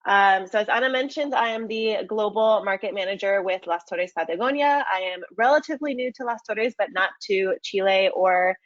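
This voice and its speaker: A woman's voice: medium pitch, normal speed, few pauses, no room sound. American woman presenting, dry dull sound. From United States. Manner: friendly, many ums and uhs. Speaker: market manager presenting a webinar. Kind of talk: webinar presentation.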